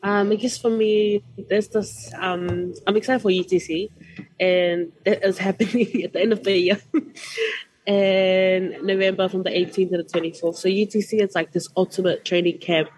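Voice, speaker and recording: A woman's voice: mid-range voice, fairly even intonation, normal speed, darkish tone, low-quality recording. A young woman student guest, chatty and rambling, full of likes and ums. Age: young adult. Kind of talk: casual radio chat.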